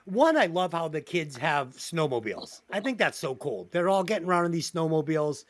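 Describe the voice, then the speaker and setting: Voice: mid-range voice, animated, brisk, slight background noise. A podcast co-host in casual comedy movie-review chat, reading out plot summaries and swearing casually.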